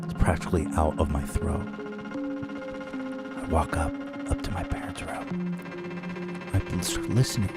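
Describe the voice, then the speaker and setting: A man hosting a podcast, telling a comic story in a dramatic way, dropping now and then into a hushed whisper. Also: low voice, very animated, measured pace, long pauses, loud music behind the voice. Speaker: podcast host.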